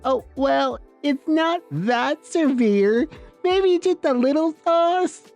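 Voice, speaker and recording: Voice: sits high, animated, slow pace, a little mellow, faint music behind, pitched above the performer's own voice, full character voice. A storyteller voicing an entitled, attention-seeking woman diner in a whiny, defensive, showy way. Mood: casual, entitled.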